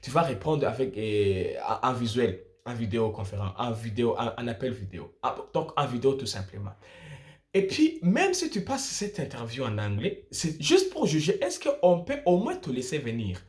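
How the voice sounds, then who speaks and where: A man's voice: mid-range voice, sing-song, normal speed. A young man from Burundi, explaining things informally to viewers in an emphatic way, repeating and rephrasing his points. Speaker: student vlogger giving study-abroad advice.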